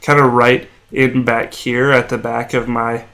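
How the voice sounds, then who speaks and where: A man's voice: medium pitch, flat delivery, fairly bright tone. Congested, nasal-sounding man explaining at a whiteboard. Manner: plain explanatory, slows on medical terms. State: sore throat, feverish. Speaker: medical educator. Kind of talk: whiteboard lecture while drawing.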